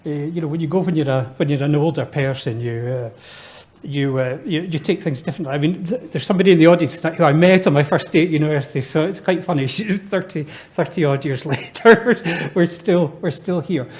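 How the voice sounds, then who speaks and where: A man's voice: a little high, fairly quick, darkish tone. A man in his fifties speaking as a panelist at a live discussion, good-humoured and chatty, with many fillers and restarts. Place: lecture hall before an audience.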